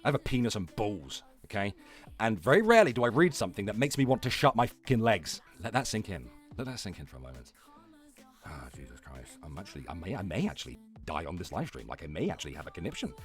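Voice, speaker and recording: A man's voice: on the low side, very animated, fast, soft background music. A man hosting a livestream with mocking reaction commentary, foul-mouthed, exasperated and sarcastic. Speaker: livestream host.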